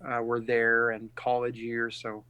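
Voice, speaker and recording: A middle-aged man from California and Texas, a pastor speaking earnestly and reflectively in a casual podcast interview, pausing often and restarting his sentences. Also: medium pitch, completely flat delivery, smooth, dry recording, narrow, tinny audio. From California and Texas, USA.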